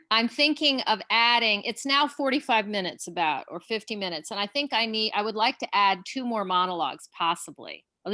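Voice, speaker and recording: A woman's voice: mid-range voice, pushed and bright, dry recording. A woman playwright answering audience questions on a video call, thinking aloud with many restarts. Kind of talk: casual Zoom Q&A chat.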